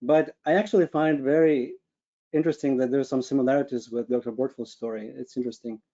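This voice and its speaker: A man's voice: medium pitch, fairly even intonation, fast talker, mellow, highs cut off. A man from Ukraine with a Ukrainian accent gives an informal personal presentation, searching for his words in an earnest way. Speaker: webinar speaker, medical physicist. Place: online video call with screen share.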